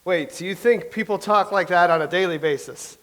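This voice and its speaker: An actor playing a chatty, boastful young playwright from old England in a staged play reading, rambling and reciting verse slowly. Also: male voice, high voice, fairly bright tone.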